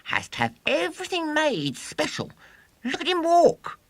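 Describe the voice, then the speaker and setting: Shrill boastful little schoolboy. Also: male voice, huge pitch swings, unhurried, no room sound, heavily acted. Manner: exclamatory, bragging, emphatic delivery. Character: boastful, scornful small English schoolboy showman. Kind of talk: children's storybook character voice.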